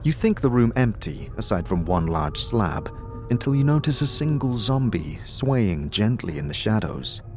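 A man's voice: fairly low pitch, very animated, moderate pace, music in the background. A man reading scripted fantasy narration as a storyteller, vividly addressing the listener. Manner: vivid second-person storytelling.

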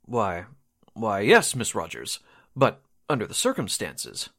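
A man acts out a reluctant official from a 1940s radio mystery. His low voice moves up and down freely as he hedges politely. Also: wildly swooping pitch, unhurried, fairly bright tone, exaggerated voice. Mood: hesitant. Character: reluctant, hedging male radio station official. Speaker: actor in an amateur radio drama re-creation.

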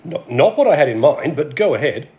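Male actor as haughty alien patriarch with a roguish streak: highish voice, extremely swooping intonation, pompous and dry, radio comedy drama. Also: big pitch swings, a little fast, noisy background. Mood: flirtatious, cocky. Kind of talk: scripted amateur radio play.

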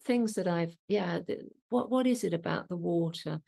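Woman host from the Midlands, low gravelly tone, warm encouraging interviewer. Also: moderate pace. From English Midlands. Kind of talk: conversational interview.

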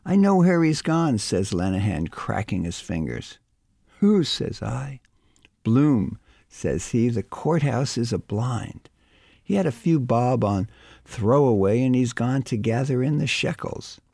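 An older man from the United States reads a novel aloud. He lifts his pitch sharply for each quoted speaker. His voice sits in a middle pitch, recorded close and dry. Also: vocal fry, no echo. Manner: lively, quick pitch jumps for quoted speakers. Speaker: guest reader of a novel. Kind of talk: audiobook reading with character voices.

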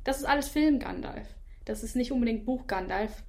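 A woman's voice: fairly high pitch, fairly quick, darkish tone. A woman co-hosting a casual review chat, voicing firm opinions with frequent "ähm" fillers.

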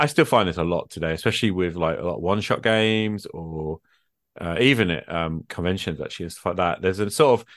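A man with a low voice chats casually as a guest, recorded dry with little room sound. He rambles informally through his points and often tags them with a quick check-in. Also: dry recording. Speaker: guest, experienced game player. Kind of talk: casual conversational discussion.

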